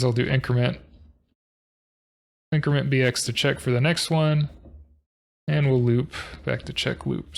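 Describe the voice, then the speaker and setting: A man hosting a coding tutorial, talking through his code in a low-key, monotone way, thinking aloud with fillers. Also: creaky. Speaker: programming tutorial host. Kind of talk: casual screen-recorded coding walkthrough.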